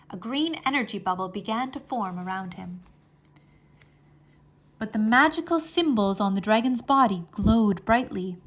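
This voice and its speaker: A woman reading a children's fantasy chapter book aloud as an expressive storyteller. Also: mid-range voice. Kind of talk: expressive scripted narration.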